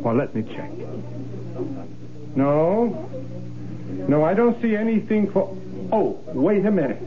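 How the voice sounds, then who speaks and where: An actor playing a courteous, obliging hotel desk clerk in a radio drama, with lilting service patter. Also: male voice, high voice, big pitch swings, slow pace, full character voice. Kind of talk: old-time radio drama acting. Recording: tinny old radio recording.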